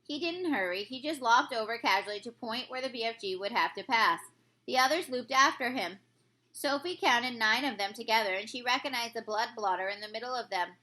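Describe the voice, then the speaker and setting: A woman narrates a children's storybook like a teacher reading aloud. Her voice is notably high. It has a bright, clear-cut edge. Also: brisk, rough recording.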